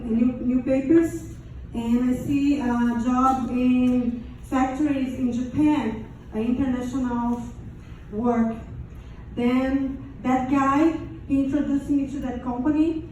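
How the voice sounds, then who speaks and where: A woman from Brazil with a Brazilian Portuguese accent gives a personal testimony to an audience, haltingly and in non-native English. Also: high voice, fairly even intonation, dark tone. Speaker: survivor giving testimony. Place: large echoey room, before an audience. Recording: very echoey room, distant mic, faint noise.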